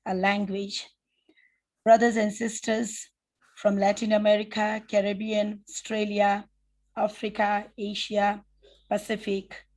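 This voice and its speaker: A woman with a Nepali accent moderating a conference session online, speaking in a formal, measured way from prepared remarks. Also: mid-range voice, a little mellow.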